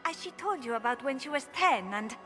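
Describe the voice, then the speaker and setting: A woman's voice: sits high, expressive, a little fast, sharp tone. An actress playing a bubbly, boastful young noblewoman in a video game, airy, theatrical and mischievous. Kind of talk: video game dialogue acting. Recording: clear music behind the voice.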